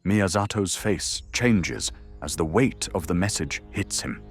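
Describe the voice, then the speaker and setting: A man's voice: low voice, expressive, a little slow. A man delivering dramatic scripted narration for an audio drama. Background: faint music.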